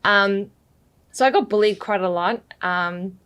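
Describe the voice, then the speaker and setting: A woman's voice: medium pitch, measured pace, a bit bright, clear, resonant tone, highs cut off. A woman with an Australian accent touched by British, chatting as a podcast guest, laughing often and leaning on "you know.". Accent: Australian, slightly mixed with British. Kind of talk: casual interview chat.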